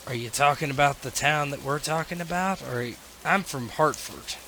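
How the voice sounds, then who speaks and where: A man's voice: a little high, moderate pace, sharp tone, a little hiss or hum. A man playing a blunt, sarcastic soldier. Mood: dry.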